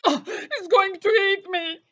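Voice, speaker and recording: A woman's voice: very high voice, slow pace, bright, forceful tone, lo-fi sound, full character voice. A woman voicing a cautious, bossy teddy bear who scolds and worries in a children's audio play. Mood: anxious. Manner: scolding, worrying, exclaiming.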